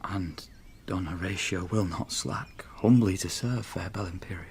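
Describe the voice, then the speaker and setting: An actor playing a loyal, solemn young Spanish soldier, speaking formal, measured verse in a radio drama. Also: male voice, sits low, darkish tone, slight background noise. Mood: humble, courteous.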